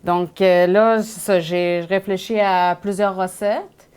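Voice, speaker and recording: The Persian-accented woman invited onto a local cooking show, talking through ingredients at the counter in halting second-language phrasing. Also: medium pitch, fairly even intonation, a little mellow, clear, resonant tone. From Iran. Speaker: guest home cook. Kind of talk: casual cooking explanation.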